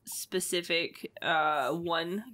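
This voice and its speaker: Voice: medium pitch, steady tone, extremely slow, sharp tone. A podcast co-host at a table mic, telling a story in a casual, giggly way. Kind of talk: casual chat. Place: living room studio. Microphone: desk mic on stand.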